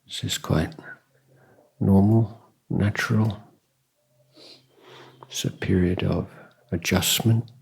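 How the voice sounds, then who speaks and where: A man giving guided meditation instruction as a Buddhist teacher, calm and measured, with long pauses and a husky, breathy voice. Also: deep voice. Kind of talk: guided meditation dharma talk.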